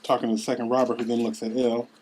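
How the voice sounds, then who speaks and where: A man's voice: mid-range voice, flat delivery, racing, a little mellow, slight background noise, rough recording. A man with a mid-range voice reads screenplay narration. He announces scenes and describes the action as the narrator of a table read. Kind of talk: scripted narration of stage directions.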